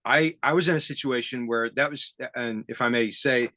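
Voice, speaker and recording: A man hosting a casual conversational interview, speaking haltingly with a self-deprecating, enthusiastic manner. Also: mid-range voice, bright, forceful tone, no room sound, studio quality. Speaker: interview host. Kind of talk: casual conversational interview about tape gear.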